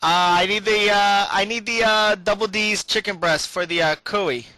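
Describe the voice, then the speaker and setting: A man putting on a bumbling prank caller who speaks haltingly in broken sentences with interjected beeps. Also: sits high, steady tone, sharp tone, poor audio. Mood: muddled, insistent. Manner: halting, broken grammar, interjects the word beep. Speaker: prank caller placing a food order. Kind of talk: improvised prank phone call.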